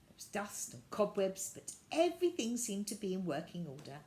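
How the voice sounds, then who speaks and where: A woman reading a children's story aloud, with deliberate, expressive narration. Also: medium pitch, a little hiss or hum.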